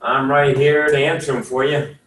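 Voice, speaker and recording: A man's voice: mid-range voice, level tone, normal speed, a bit bright. A man in his sixties, an attorney, talking casually at a career session in a chatty, folksy way, rambling with many fillers. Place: room at a career services office. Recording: echoey room, far from the mic, faint hiss.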